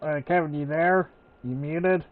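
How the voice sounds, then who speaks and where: A man's voice: a little high, moderate pace, narrow, tinny audio, slight background noise. A man giving casual live gameplay commentary as a streamer, chatting as he plays. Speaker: game streamer. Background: game music.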